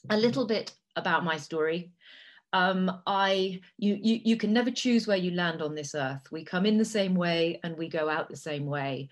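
A middle-aged woman who grew up in Italy and speaks Italian natively delivers a prepared talk. Her voice sits a little low, and she moves along in a measured way, leaning on small fillers. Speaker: guest speaker.

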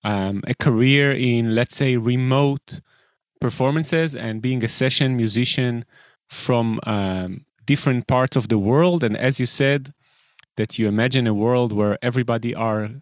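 A man's voice: medium pitch, unhurried, no room sound, studio quality. Earnest man hosting a podcast. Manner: earnest, wordy questions with fillers. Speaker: podcast host. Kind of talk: interview hosting.

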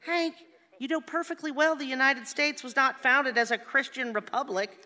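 Older wife and mother, radio play, sardonic, nagging, outspoken, crisp bright edge, medium pitch, reverberant hall. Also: fairly even intonation, normal speed. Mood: teasing, sardonic. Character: exasperated, sharp-tongued, teasing older wife and mother.

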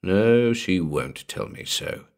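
A man voicing a softly menacing, vengeful killer in a gentle, low, husky hush for a dramatic story. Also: big pitch swings, heavily acted. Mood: cold, murderous calm. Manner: slow, gentle, taunting. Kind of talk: dramatic audiobook character reading.

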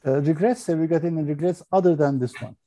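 A man's voice: fairly high pitch, fast talker, warm tone. A male interviewer who asks questions hesitantly, with filler sounds.

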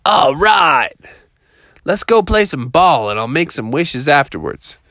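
An excitable young frog, acted out by a male storyteller, pitched up high and swooping around. He is easygoing and slangy, full of casual enthusiasm for play, like a storybook hero. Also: takes it slowly, no echo, clean studio sound, full character voice. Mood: eager, casual.

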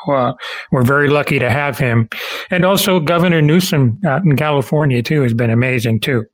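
A man's voice: mid-range voice, fairly quick. Guy hosting a casual interview show, mid-range voice, agreeable and full of compliments. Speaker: podcast interviewer, host.

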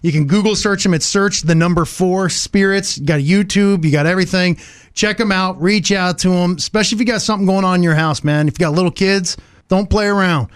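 A man with a high voice chats as a radio co-host. His delivery is emphatic and folksy, full of informal phrasing. The sound is very dry and close. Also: a little fast, clear, resonant tone, no room sound. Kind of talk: casual radio chat.

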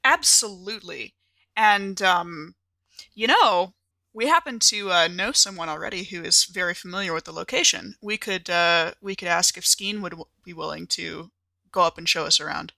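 A woman's voice: fairly low pitch, normal speed, gravelly, pushed and bright, no room sound. A woman playing in a tabletop game podcast, improvising casually with thoughtful, hesitant phrasing.

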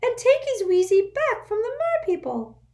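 A woman voicing an eager, servile male house-elf with a squeaky voice and broken grammar, in a storybook reading. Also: big pitch swings, moderate pace, a little mellow, heavily acted. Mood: urgent, squeaky. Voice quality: squeaky, broken grammar, says sir constantly.

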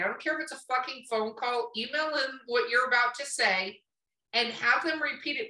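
A woman's voice: on the high side, sharp tone, recorded from a distance. A woman podcast host chatting casually on an online call, blunt and opinionated, swearing freely. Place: room, online video call. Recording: echoey room, away from the mic.

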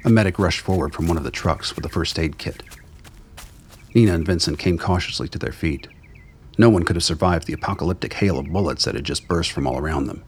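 A deep-voiced man narrates a radio drama from a script. His pitch sits very low, and faint ambient noise runs behind him. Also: fairly quick.

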